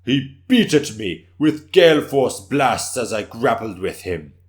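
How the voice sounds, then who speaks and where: A man's voice: medium pitch, sing-song, unhurried, voice pushed up. A man performs a proud, defiant samurai warrior in a storybook tale. He declaims in grand, old-fashioned language, theatrical and bombastic, as if addressing a whole hall. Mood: boastful. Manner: declaiming, bombastic, archaic formal speech.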